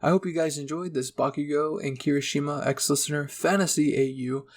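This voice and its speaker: A man's voice: fairly high pitch, steady tone, brisk. A man narrating a second-person roleplay story as a channel host.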